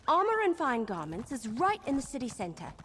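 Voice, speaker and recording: An actress playing a brisk, determined adventurer companion in a video game, purposeful and friendly as she gives directions. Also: fairly high pitch, huge pitch swings, a bit bright, a little hiss or hum.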